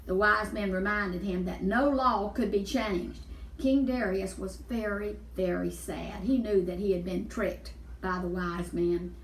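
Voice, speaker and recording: A woman's voice: mid-range voice, unhurried, a little hiss or hum. Echoey woman reading a children's story. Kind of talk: children's Bible story read aloud. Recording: echoey room, distant mic.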